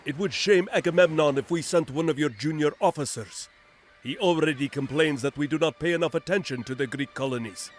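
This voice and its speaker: Man as an earnest advisor, urging, slightly high voice, game cutscene. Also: a little fast, soft background music, natural voice. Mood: urgent.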